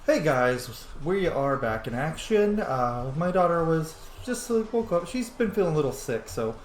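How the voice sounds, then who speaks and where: A casual man commenting on his game as he plays. His pitch moves around a lot as he reacts aloud, and the room echoes with faint noise behind. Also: very animated, moderate pace. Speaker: game streamer.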